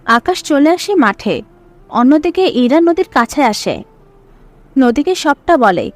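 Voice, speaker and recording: High-voiced woman narrating a story. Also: big pitch swings, takes it slowly.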